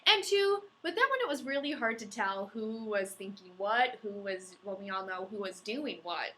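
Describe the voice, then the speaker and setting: A woman's voice: mid-range voice, expressive, moderate pace, pushed and bright, slight background noise. A young woman co-hosting a casual book podcast, chatty and enthusiastic. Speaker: podcast co-host. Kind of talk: casual chat.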